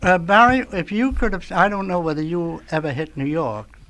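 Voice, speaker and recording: A man's voice: high-pitched, normal speed, a bit bright, low-quality recording. A middle-aged or older man, a radio veteran guest, reminisces nostalgically in an emphatic, repetitive storytelling way.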